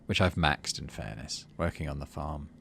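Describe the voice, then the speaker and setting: A man's voice: extremely low pitch, expressive, normal speed, gravelly, pushed and bright. A middle-aged man giving casual let's play commentary and story narration, thinking aloud as he goes. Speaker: gaming video host.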